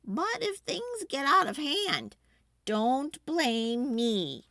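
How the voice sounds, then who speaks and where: A woman's voice: sits high, big pitch swings, drawn-out words, gravelly, bright, forceful tone, dry recording, clean studio sound, pitched above the performer's own voice, full character voice. A woman voicing a wary, grumbling older storybook wife who gives in reluctantly, speaking with emphatic warnings.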